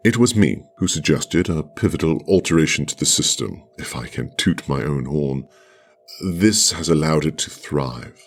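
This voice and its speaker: A man performing a radio play monologue as a solemn, privileged man of the future chosen for sacrifice, speaking in a measured, formal, halting way. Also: extremely low pitch, animated, exaggerated voice. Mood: solemn, resigned. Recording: music under the voice.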